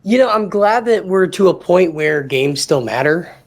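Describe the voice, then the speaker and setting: Gravelly-voiced male podcast co-host. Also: sits high, lively intonation, moderate pace. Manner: chatty, leans on "I mean". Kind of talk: casual sports podcast chat.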